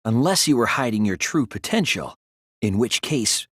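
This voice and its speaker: An earnest young detective, expressive and husky. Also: male voice, medium pitch, moderate pace, no room sound, studio quality. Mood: earnest, analytical. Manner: careful, reasoning step by step. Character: earnest young male detective student, analytical and soft-spoken. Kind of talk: video game voice acting, deductive explanation.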